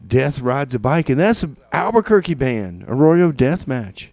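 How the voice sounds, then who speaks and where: A man's voice: medium pitch, sing-song, a little slow, darkish tone, dry recording. A man hosting a music radio show with casual, hesitant, tongue-in-cheek patter, often repeating phrases for effect. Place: radio studio.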